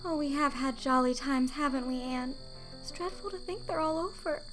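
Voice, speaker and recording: A woman's voice: high voice, moderate pace, fairly bright tone, soft background music. An actress playing a sentimental, devoted teenage schoolgirl best friend in a storybook drama, plaintive and gushing. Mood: dismal, wistful.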